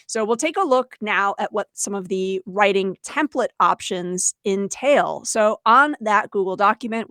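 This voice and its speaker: A woman's voice: medium pitch, dry recording, studio quality. A woman co-hosting a webinar for teachers, explaining conversationally and voicing imagined quotes as she goes. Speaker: webinar co-host, educator.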